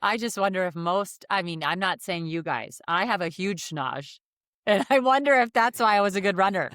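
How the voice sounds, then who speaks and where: A woman's voice: mid-range voice, lively intonation, normal speed, a bit bright, dry recording, clean studio sound. Chatty woman hosting a podcast. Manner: chatty, laughs easily. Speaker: podcast host.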